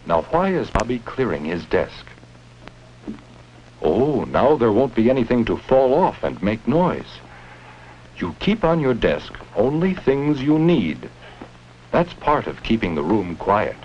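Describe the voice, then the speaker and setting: A man's voice: medium pitch, big pitch swings, slow pace, a little mellow. A man reading scripted narration for a 1950s educational film, instructing children with simple questions. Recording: muffled, noisy 1950s educational film soundtrack.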